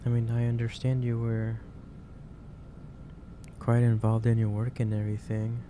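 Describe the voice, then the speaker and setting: Man playing a gentle, halting boss, noisy recording. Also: mid-range voice, completely flat delivery, close to an everyday voice. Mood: gentle concern. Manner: hesitant, halting, careful phrasing. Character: gentle, concerned male office boss, lecturing kindly. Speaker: ASMR roleplay performer. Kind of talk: soft-spoken ASMR roleplay. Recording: clearly noisy ambient background.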